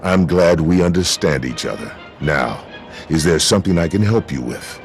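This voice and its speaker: A folksy frontier lawman for a video game. His voice is exceptionally deep and gruff, and he talks plainly, with easy authority. Soft music plays faintly underneath. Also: expressive, normal speed. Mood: businesslike, wary. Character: gruff, folksy frontier town sheriff and mayor. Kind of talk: video game character dialogue.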